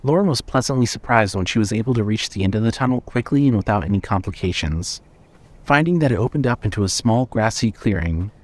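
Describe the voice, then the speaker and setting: A man narrating a scripted story in a measured, suspenseful way. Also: on the low side, expressive.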